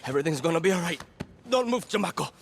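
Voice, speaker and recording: A man's voice: fairly high pitch, animated, fast, vocal fry, very noisy. An actor playing a tough young male guerrilla fighter, urgent and swearing under pressure. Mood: frantic.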